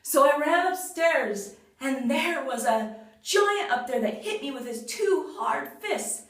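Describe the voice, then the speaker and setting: A woman voicing a frightened male robber in a folktale, telling his ordeal in a hurried, agitated way. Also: sits high, lively intonation, pitched above the performer's own voice. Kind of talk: storybook character monologue. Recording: roomy echo, far from mic.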